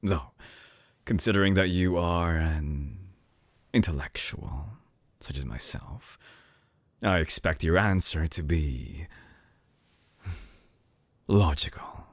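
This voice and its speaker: A man playing a suave, obsessive villain scientist in an intimate audio drama, murmuring with silky condescension in a breathy, theatrical way, close to the mic. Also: takes it slowly, pauses often, no echo. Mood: smooth, condescending. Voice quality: low, breathy, husky murmur. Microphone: close binaural microphone.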